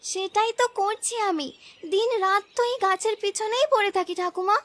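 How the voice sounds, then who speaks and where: A woman's voice: very high voice, normal speed, a bit bright. A woman voicing a humble, sweet, dutiful young village girl in a cartoon story, speaking meekly and earnestly. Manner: meek, earnest. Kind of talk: Bengali cartoon story dubbing.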